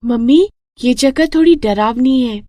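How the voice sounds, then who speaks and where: A woman's voice: sits high, a little slow, mellow, no room sound. A woman voicing an innocent, chatty little girl in a cartoon story, with a childlike manner. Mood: uneasy, curious. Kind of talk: cartoon moral story acting.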